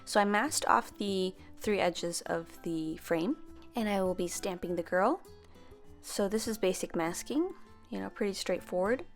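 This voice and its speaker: A young woman talks through a stamping tutorial in a friendly, chatty voice-over at a medium pitch. She sometimes stumbles and corrects herself mid-sentence, and soft music plays underneath. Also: unhurried. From half Filipino, grew up speaking Tagalog. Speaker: planner stamping vlogger.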